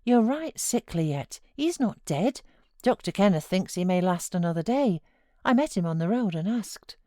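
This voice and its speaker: A woman's voice: medium pitch, expressive, moderate pace, mellow, dry recording, clean studio sound, close to an everyday voice. A woman voicing a gossipy, good-natured country servant in a novel, exclaiming in rural dialect. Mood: soothing.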